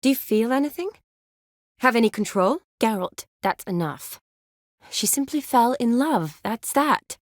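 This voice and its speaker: An expressive young army medic, close and dry. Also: female voice, fairly high pitch, measured pace, no echo. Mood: firm, questioning. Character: young woman army medic, caring, playful, briskly practical. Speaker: video game voice actress. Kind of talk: video game character dialogue.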